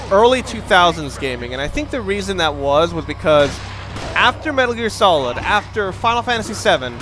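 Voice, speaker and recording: A young man giving casual, rambling commentary over a video game, often breaking off mid-sentence and swearing now and then. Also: high-pitched. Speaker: let's play commentator.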